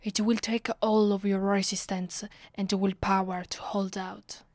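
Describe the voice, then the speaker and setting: A woman with an Italian accent playing a commanding, vengeful vampire in an ASMR roleplay, her voice soft and breathy, often sinking to a whisper. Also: medium pitch, flat delivery, no echo, natural voice. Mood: teasing, commanding. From Italy. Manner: slow, deliberate, imperious. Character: commanding, vengeful female vampire, secretly affectionate. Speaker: ASMR voice actress.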